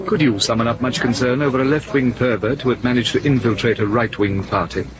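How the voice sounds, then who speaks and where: An actor playing an urbane, evasive secret service officer in a radio drama, drily condescending and smug. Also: male voice, mid-range voice, fairly even intonation, fairly quick, muffled recording, background noise, lightly acted. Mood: dry disdain.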